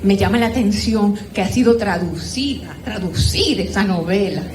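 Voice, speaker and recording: A woman's voice: medium pitch, moderate pace, darkish tone. A woman with a Dominican accent speaking informally and conversationally as a participant at a book gathering. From Dominican Republic. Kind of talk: informal spoken commentary at a literary gathering. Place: echoey room at a live group gathering. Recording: roomy echo, faint background noise.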